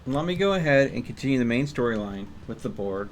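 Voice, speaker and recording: A man's voice: medium pitch, lively intonation, brisk, slight background noise. A man streaming a video game, thinking aloud in a casual way with mild frustration. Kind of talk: casual live gameplay commentary.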